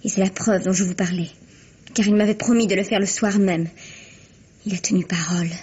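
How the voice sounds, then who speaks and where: A woman's voice: mid-range voice, fairly even intonation, fairly quick, dark tone, husky. A woman playing a young, self-assured actress in a vintage radio mystery, speaking theatrically with precise, proud insistence. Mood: earnest, insistent. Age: young adult. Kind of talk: 1950s French radio mystery acting. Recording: dull, lo-fi vintage radio recording.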